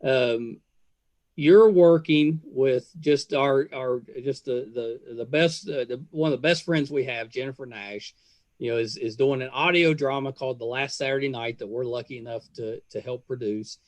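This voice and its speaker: A man in his fifties hosting a podcast, chatting casually like an enthusiastic fan, with halting, trailing sentences. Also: mid-range voice, a little mellow, no room sound. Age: 50s. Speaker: podcast host. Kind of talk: casual interview chat.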